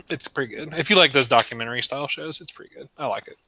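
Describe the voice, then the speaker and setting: A man's voice: medium pitch, quick pace, pushed and bright, breathy edge, dry recording. A man in his early thirties chatting with a friend while gaming, crude and slangy, swearing often. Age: 30s. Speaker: friend on voice chat during a stream. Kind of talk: casual chat while gaming.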